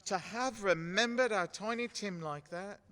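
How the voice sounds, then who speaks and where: Male actor as loving sorrowful father, high voice, tender, halting, radio play, hall reverb. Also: sharp tone. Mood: earnest, halting. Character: gentle, grieving, loving working-class father.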